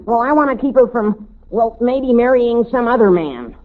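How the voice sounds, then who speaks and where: A man playing an earnest, pompous teenage boy suitor in 1940s radio comedy, speaking haltingly. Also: very high-pitched, animated, phone-quality sound. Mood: anxious earnest. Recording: thin old radio recording.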